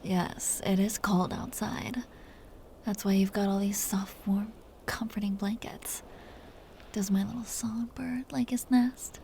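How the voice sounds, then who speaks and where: A tender, possessive vampire countess acted by a woman whispers close to the mic in an intimate ASMR roleplay. Her delivery is very unhurried, soothing and teasing, with dark, soft tone and gravelly fry at the edges. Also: medium pitch, steady tone, slight background noise. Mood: doting. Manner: slow, soothing, teasing endearments.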